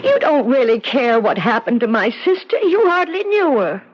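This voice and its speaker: A woman's voice: fairly high pitch, sing-song, moderate pace, slightly rough. An actress playing a frightened, pleading young woman in a 1970s radio drama, urgent and stammering. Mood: anxious, questioning. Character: frightened, distraught young woman, pleading about her dead sister. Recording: dull old radio broadcast recording.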